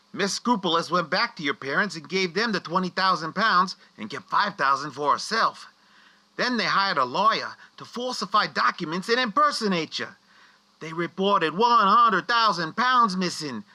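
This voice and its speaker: Man playing a high, piercing, mocking ghost. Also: lively intonation, moderate pace, bright, forceful tone, heavily acted. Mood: explaining. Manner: taunting, exasperated. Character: mocking, wisecracking ghost guide. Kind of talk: comic radio play acting.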